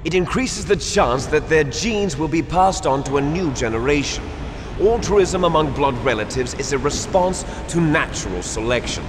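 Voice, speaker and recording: A man performs a bitter, menacing game villain in a long echoing hall. His fairly high voice swings dramatically as he lectures like a doomed fatalist, with music behind him. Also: animated, moderate pace, background noise, close to an everyday voice. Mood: grim lecturing. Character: bitter, fatalistic villain, cloned son of a soldier.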